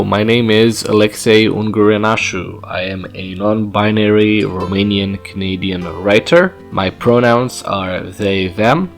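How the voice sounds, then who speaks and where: A man calmly introducing himself as a new podcast guest in a measured, deliberate way. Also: lowish voice. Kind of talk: prepared self-introduction.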